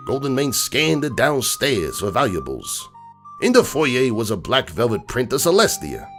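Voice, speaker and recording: A man's voice: medium pitch, wildly swooping pitch, normal speed, fairly bright tone. Man as streetwise horse uncle, cynical wiseguy, wildly varied intonation, rambling storybook narration, faint music. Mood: sly. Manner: slangy wiseguy, cynical, digressing. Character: gruff streetwise crook horse uncle telling bedtime stories. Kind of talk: dramatic reading of a comic bedtime story. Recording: music bed behind the voice.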